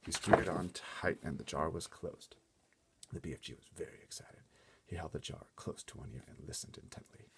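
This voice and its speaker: A man reading a children's story aloud as an expressive narrator. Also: sits low, brisk, gravelly, noisy background. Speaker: storybook reader.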